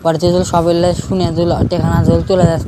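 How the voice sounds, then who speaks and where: A man's voice: a little high, monotone, quick pace, natural voice. A man playing a cranky, haggling village madman uncle in a comic cartoon, quarrelsome and repetitive, with a Bogura accent from northern Bangladesh. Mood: demanding. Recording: noisy recording with clear background noise.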